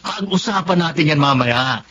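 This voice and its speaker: An actor playing a pushy, smooth-talking gambling buddy who tempts his friend, in a Filipino radio drama. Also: a little high, animated, moderate pace, lightly acted. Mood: persuasive. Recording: muffled, noisy radio drama recording.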